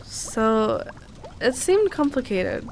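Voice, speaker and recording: A woman playing a cute, playful young alchemist girl in a soft, cozy ASMR roleplay, teasing and explaining gently. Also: a little high, wildly swooping pitch, slow, very noisy, full character voice. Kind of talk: ASMR roleplay, soft intimate address to the listener.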